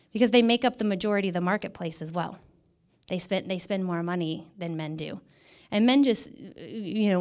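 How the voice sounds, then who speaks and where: A middle-aged woman with an American accent speaks as a guest presenter, emphatically repeating words for stress in a motivational talk. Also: mid-range voice. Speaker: guest speaker, image consultant. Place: indoor stage in a dark event room. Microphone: handheld microphone.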